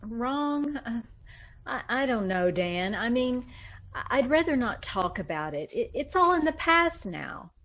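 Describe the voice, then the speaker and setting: A woman acts out a secretive young woman in love in a 1940s-style radio play. She speaks slowly, with nervous little laughs and halting stammers. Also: fairly high pitch, very animated, fairly bright tone, exaggerated voice. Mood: flustered, evasive. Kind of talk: 1940s radio drama acting.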